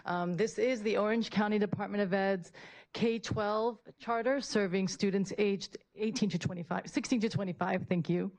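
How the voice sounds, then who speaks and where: A woman's voice: medium pitch, fairly even intonation, normal speed, darkish tone, poor audio. A woman giving a prepared public presentation as a school principal, earnest and repeating phrases for emphasis. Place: public board meeting room, at a podium.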